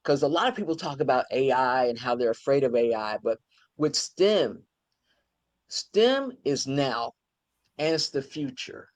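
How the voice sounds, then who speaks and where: A woman's voice: very deep voice, lively intonation, measured pace, darkish tone. An older woman hosting an interview, talking in an enthusiastic, conversational way. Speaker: host.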